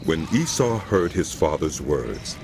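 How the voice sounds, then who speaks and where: A man's voice: rumbling low, very animated, slow pace, gravelly, background noise. A man narrating scripture as a dramatic, scripted audio production. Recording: loud music behind the voice.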